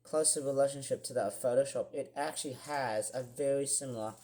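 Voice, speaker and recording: A young man with a croaky voice, chatting casually in a rambling vlog with many fillers and restarts. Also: medium pitch, fairly quick, dark tone, a little hiss or hum. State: croaky, clearing throat. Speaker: vlogger. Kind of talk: casual screen-share vlog.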